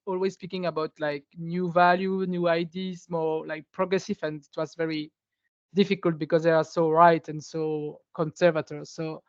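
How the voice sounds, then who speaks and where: A woman's voice: lowish voice, a little mellow, no echo. A woman who is a local politician, searching for words as she gives her testimony in a webinar. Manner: searching for words, non-native English. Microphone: video call. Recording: dry, dull video call audio.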